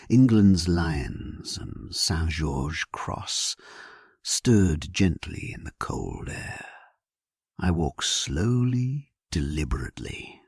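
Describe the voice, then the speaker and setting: A man narrating a sleep story as a grave, resolute English king, in a solemn, measured, dramatic way with a husky, breathy voice. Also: low voice, a little mellow, no room sound, clean studio sound, full character voice. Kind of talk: scripted first-person sleep-story narration.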